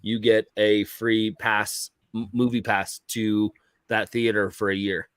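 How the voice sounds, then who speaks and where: A man's voice: lowish voice, little pitch movement, takes it slowly, fairly bright tone, clean tone, no room sound. A man in casual conversation who repeats his points for emphasis. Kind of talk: casual chat.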